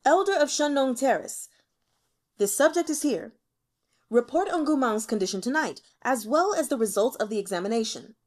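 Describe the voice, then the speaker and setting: A woman's voice: high voice, expressive, moderate pace, darkish tone. A woman voicing a young male emperor who is irritable and flippant, with a bored, scornful, imperious manner. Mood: weary, commanding.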